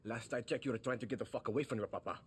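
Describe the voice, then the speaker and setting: A man playing a tough young male guerrilla fighter in a game cutscene, gruff and sardonic. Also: mid-range voice, very fast, slight background noise, close to an everyday voice. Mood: wry. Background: game score music.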